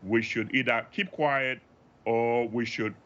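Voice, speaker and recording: A man from Africa gives expert analysis in a news interview. His voice is bright and forceful, his delivery measured and emphatic. The audio is somewhat dull and band-limited. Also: medium pitch, steady tone. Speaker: professor, expert guest.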